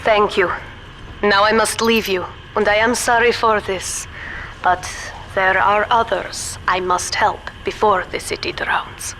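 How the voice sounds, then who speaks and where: An actress playing a grave, remorseful older woman scientist with a German accent, speaking solemnly and deliberately in a video game. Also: medium pitch, steady tone, noisy background, close to an everyday voice. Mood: regretful. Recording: echoey hall reverb, music behind.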